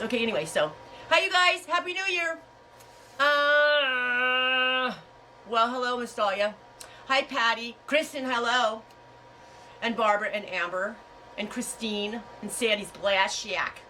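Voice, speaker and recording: A woman's voice: a little high, bright, forceful tone. A chatty woman telling a rambling story to her viewers, laughing often as she talks. Her nose is stuffed up from a head cold. She sounds a little distant from the mic, with a faint hiss of noise behind her. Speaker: yarn and fiber farm vlogger. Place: home on a farm.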